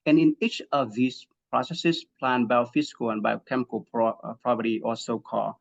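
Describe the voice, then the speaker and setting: A man from Vietnam giving an academic webinar talk, halting with many fillers and restarts and frequent throat clearing. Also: medium pitch, steady tone, muffled recording. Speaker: guest speaker, assistant professor.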